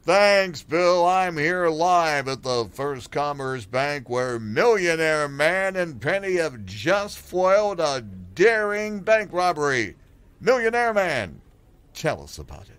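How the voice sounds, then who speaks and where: A man playing a high-voiced excitable news reporter. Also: slow, clear, resonant tone, exaggerated voice. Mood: announcing. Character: earnest, excitable male TV field reporter. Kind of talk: parody live news reporting.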